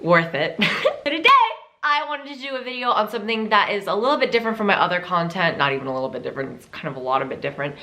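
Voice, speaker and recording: A woman's voice: fairly low pitch, animated, rapid-fire, pushed and bright. A young adult woman vlogging in a casual, chatty and playful way, swearing freely as she gives tips. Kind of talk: casual chat.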